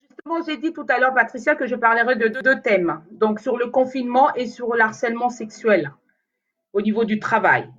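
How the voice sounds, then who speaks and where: A woman's voice: a little high, brisk, fairly bright tone, highs cut off. Rambling woman chatting live on a phone. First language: Lingala. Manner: rambling, hesitant, many fillers and restarts. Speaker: vlogger talking to viewers. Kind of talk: casual live chat to camera.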